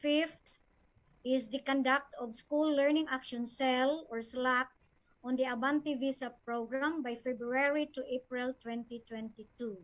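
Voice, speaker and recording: Woman, Filipino accent, high pitch, formal slide presentation, measured official manner. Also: fairly even intonation, low-quality recording. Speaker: education official presenting a plan.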